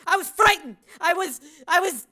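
A frantic, estranged mother stumbling over her words, close to tears and quick to turn defensive, as a woman acts her out. Her voice sits high and bright. Rendered as a dramatized audiobook. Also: expressive, full character voice. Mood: distraught. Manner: anxious, halting, tearful.

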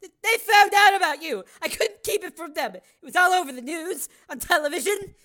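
Woman as a frantic estranged mother, high, bright, halting and tearful. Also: animated, heavily acted. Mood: distraught. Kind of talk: dramatized audiobook acting.

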